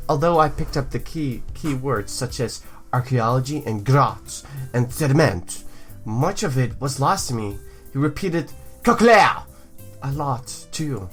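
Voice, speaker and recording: Let's play host, male: jokey, expressive, swinging intonation, reads game narration aloud, faint music behind. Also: mid-range voice. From United States. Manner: jokey, goofy, animated. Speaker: gaming video host.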